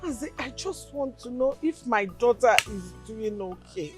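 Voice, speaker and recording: A woman's voice: on the high side, expressive, a little slow, over music. A woman, playing a worried village mother who pleads earnestly. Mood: anxious. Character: anxious village mother pleading for her children.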